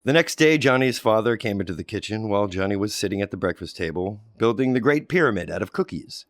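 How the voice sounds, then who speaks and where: A man reading a children's storybook aloud, wry and animated. His pitch moves a lot, and the sound is dry and close with no room. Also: fast, no room sound. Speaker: storybook reader. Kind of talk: read-aloud storybook narration.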